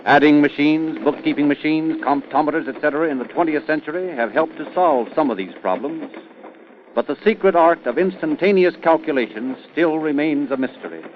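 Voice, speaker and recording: A man reading scripted narration and announcements as an old-time radio announcer, in formal, emphatic, measured phrases. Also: on the high side, a little hiss or hum. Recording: muffled vintage radio recording, music behind. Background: music.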